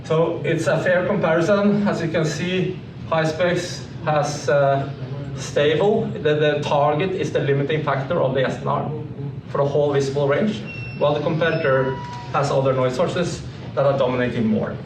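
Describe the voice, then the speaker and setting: A man giving an informal technical slide presentation, explaining in a halting way. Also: a little high, fairly even intonation. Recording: echoey room, distant mic, clearly noisy.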